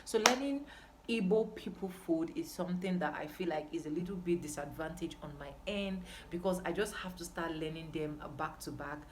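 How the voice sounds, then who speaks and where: Young nigerian lady vlogging, lowish voice, chatty n emphatic, bit of background noise. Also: brisk. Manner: emphatic, repeats phrases for stress. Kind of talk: casual chat to camera.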